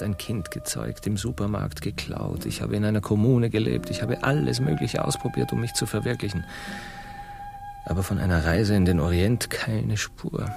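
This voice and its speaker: An actor in a satirical radio play, playing a restless, frustrated young explorer who pleads and insists. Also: male voice, lowish voice, vocal fry, exaggerated voice. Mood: fed up, driven. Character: restless, frustrated young Columbus as dreamer and schemer.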